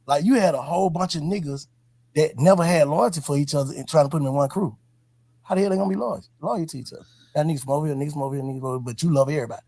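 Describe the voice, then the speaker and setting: A man's voice: a little high, rapid-fire, warm tone. A man giving heartfelt, slangy advice as an interviewer, emphatic and repeating his points. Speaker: podcast host interviewing a guest. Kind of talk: heartfelt advice in casual street talk.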